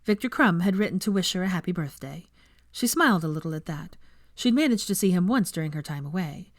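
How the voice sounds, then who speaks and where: A woman narrates fiction as an expressive audiobook reader. Her voice sits slightly low and moves with animated intonation, captured close and dry. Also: fairly quick, dry recording.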